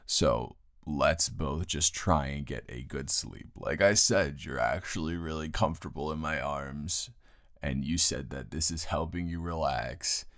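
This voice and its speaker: A man playing a grumpy, tired young male roommate who is secretly smitten, in a halting, exasperated, intimate improvised audio roleplay. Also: fairly bright tone, no room sound, exaggerated voice. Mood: weary, reluctant. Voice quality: very deep, gravelly, close and dry.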